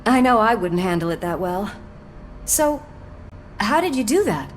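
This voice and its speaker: A woman's voice: mid-range voice, big pitch swings, measured pace, a little hiss or hum. A woman acting a supportive, teasing police partner in a video game, informal and wry. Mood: wry, caring.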